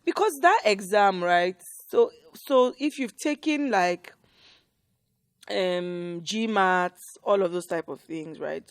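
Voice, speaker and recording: A woman's voice: mid-range voice, dry recording, studio quality. A woman with a Nigerian accent giving casual, conversational advice as a co-host, explaining emphatically and often checking in with "right?". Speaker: HR professional and talk co-host.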